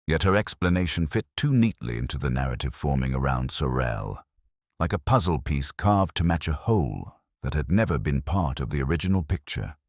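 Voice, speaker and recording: A man reading scripted first-person detective fiction in a measured, brooding, literary manner. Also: very deep voice, very animated, no echo, studio quality. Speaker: narrator.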